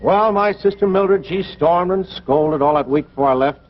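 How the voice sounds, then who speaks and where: Folksy, rueful man, higher-pitched, old recording. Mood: reminiscent. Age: middle-aged. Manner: folksy, rambling, halting. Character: regretful middle-aged racetrack man looking back on youth. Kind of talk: 1950s television drama narration. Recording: dull old TV soundtrack, noisy.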